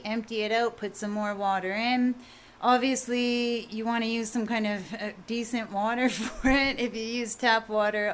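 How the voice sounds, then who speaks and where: A woman with a quiet voice, casually explaining a recipe in a home kitchen video, rambling a bit with fillers. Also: mid-range voice, a little hiss or hum. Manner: relaxed, rambling, with fillers and mild swearing. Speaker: home cook vlogger.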